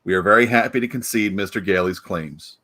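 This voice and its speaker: Man playing a sharp, forceful, pompous prosecutor. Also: fairly low pitch, a little fast. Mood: pompous, insistent. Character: pompous, exasperated prosecuting district attorney. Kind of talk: 1940s-style live radio play acting.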